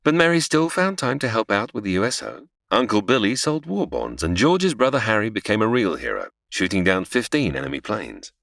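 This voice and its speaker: A man's voice: on the low side, sing-song, a little fast, vocal fry, few pauses, a bit bright, no room sound, studio quality, heavily acted. A man playing a senior angel who narrates an audio drama, explaining patiently but easily exasperated. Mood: storytelling. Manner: explanatory, storytelling.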